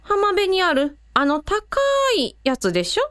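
A woman's voice: high voice, big pitch swings, measured pace, fairly bright tone, full character voice. A woman playing a friendly, curious and courteous village woman in an amateur radio drama.

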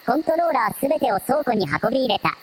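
A woman's voice: a little high, sing-song, fast talker, husky. A woman playing an earnest male computer companion, clipped, formal and easily flustered, in a scripted audio drama. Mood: businesslike. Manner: clipped, formal, analytical, stammers when flustered.

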